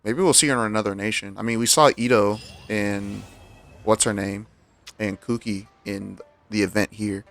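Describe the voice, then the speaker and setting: A male streamer with a lowish, gravelly voice gives casual game commentary. He muses aloud in an offhand way, close to the mic, with faint music behind him. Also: steady tone, normal speed, a bit bright.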